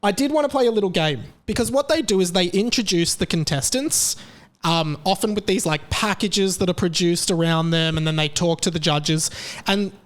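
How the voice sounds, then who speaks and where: A young Australian man talks through his opinions on his own commentary show. His voice sits a little high, and his delivery is chatty and sarcastic. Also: normal speed. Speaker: podcast host. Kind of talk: casual commentary monologue.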